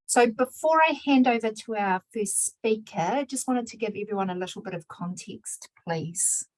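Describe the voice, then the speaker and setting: A woman's voice: mid-range voice, dry recording, low-quality recording. New woman hosting a webinar. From New Zealand. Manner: measured, with Māori greetings and fillers. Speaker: webinar host and research director. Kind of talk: scripted webinar introduction.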